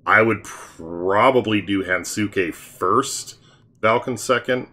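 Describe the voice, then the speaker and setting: A man hosting a casual gameplay commentary, explaining team strategy in a relaxed way. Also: lowish voice, slow pace. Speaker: gaming channel host.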